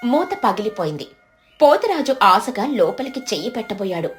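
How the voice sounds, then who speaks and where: A woman with a high voice narrates a moral tale from a script. Music plays softly behind her storytelling.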